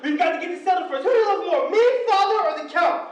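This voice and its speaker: Man playing a shrill, petulant mother's-boy son. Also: rapid-fire, background noise. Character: petulant, demanding son devoted to his mother. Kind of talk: stage farce acting. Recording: very echoey hall, distant microphone.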